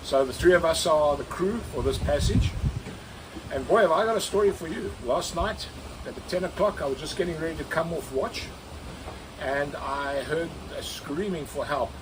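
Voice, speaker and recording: A man's voice: on the high side, normal speed, noisy background. A man speaking as a skipper and vlog host, telling a first-person story in an earnest way and repeating words for emphasis. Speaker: sailing skipper and video host.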